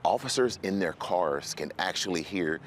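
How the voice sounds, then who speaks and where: Male police interviewee, very low voice, explanatory. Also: lively intonation, moderate pace, a little hiss or hum. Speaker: police lieutenant being interviewed.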